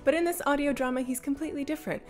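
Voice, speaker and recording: A woman co-hosting a gaming news show, giving casual, playful commentary with teasing, deadpan asides. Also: high-pitched, rapid-fire, soft background music.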